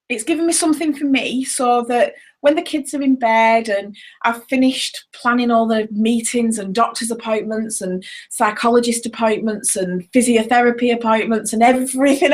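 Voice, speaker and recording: A woman in her thirties sharing her personal story in a talk, earnest and hesitant, with many ums. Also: on the high side. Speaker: network marketing seller sharing her story.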